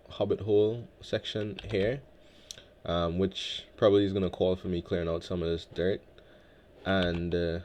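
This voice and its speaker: A man from Guyana hosting a relaxed game let's play, talking in a laid-back, reflective way with many filler words. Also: low voice, slight background noise. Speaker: Minecraft let's play host. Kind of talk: relaxed gameplay commentary.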